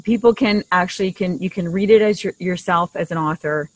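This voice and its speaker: A woman's voice: lowish voice, brisk, no room sound, low-quality recording. A middle-aged woman co-hosting a podcast, explaining things conversationally into a desktop microphone outdoors. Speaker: podcast co-host. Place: outdoors in a leafy garden. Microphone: desktop condenser microphone shared between two.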